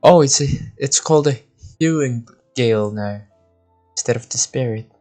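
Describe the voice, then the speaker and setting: A man's voice: medium pitch, moderate pace, darkish tone, soft background music. A man doing casual live gameplay commentary, rambling and thinking aloud. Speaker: game streamer.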